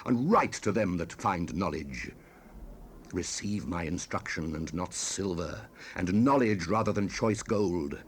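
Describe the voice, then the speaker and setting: A man voicing Wisdom as an ancient, righteous spirit, proclaiming emphatically in a dramatized Bible reading on a hissy old cassette. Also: sits low. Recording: 1970s cassette rip with hiss.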